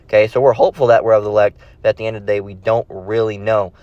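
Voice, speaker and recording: A man's voice: on the low side, fairly even intonation, very fast, mellow, no echo. A man preaching off the cuff in a blunt, slangy, confrontational manner, often repeating himself and tagging phrases with short check questions. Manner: slangy, tags phrases with "all right?" and "okay?". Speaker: street-style Bible preacher. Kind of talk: informal impromptu sermon with scripture reading. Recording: dull, dry lo-fi sound.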